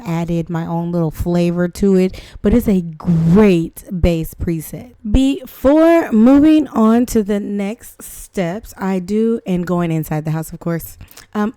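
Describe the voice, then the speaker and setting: A young woman with a hoarse voice from the flu, chatting casually as she narrates a game tutorial over gameplay. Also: medium pitch, mellow, dry recording. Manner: chatty, rambling, self-deprecating. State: hoarse from flu, voice not back. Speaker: gaming channel host.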